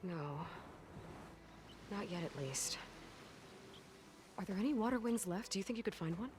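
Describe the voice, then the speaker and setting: An actress voices a young huntress heroine in a video game. She is compassionate and determined, speaking in a medium-pitched, sincere, slightly halting way. Ambient sound is clearly audible behind her. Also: animated, background noise, close to an everyday voice. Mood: practical, calm. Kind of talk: video game cutscene acting.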